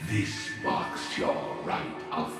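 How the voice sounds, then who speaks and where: A man playing an ancient, menacing fire lord in a video game, gravelly and grandiose. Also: lightly acted. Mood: solemn menace. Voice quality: gravelly, booming. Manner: grandiose, slow ceremonial delivery. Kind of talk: dramatic video game voice acting. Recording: cavernous echo, distant, music underneath.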